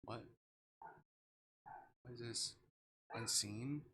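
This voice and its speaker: Man, bright forward voice, casual game streamer, reading dialogue aloud, noticeable background noise. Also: mid-range voice, very slow.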